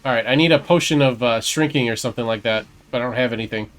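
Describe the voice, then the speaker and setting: A man's voice: medium pitch, fast talker. A man doing casual video game playthrough commentary, muttering to himself as he plays. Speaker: gaming streamer.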